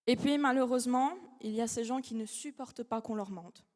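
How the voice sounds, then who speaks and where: A woman's voice: on the high side, a little mellow. Young woman orating in a reverberant hall. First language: not French. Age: young adult. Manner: dramatic, measured delivery with rhetorical pauses. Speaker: law student giving a competition speech. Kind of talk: formal oratory speech. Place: large academic hall.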